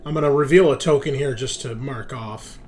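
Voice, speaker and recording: A man running a tabletop roleplaying game as game master, describing scenes in a halting, improvised way. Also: medium pitch, darkish tone. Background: background music.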